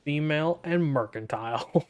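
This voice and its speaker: A man's voice: on the high side, a little slow, dull audio. A man giving casual, sceptical commentary as he plays through a video game, with wry asides. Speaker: gaming streamer.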